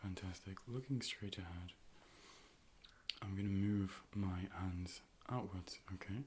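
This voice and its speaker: A man in a soft, breathy ASMR role play as an optician, speaking calmly and often slipping into whispers, gently instructing and reassuring up close to the microphone. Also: low-pitched, a little slow, slight background noise.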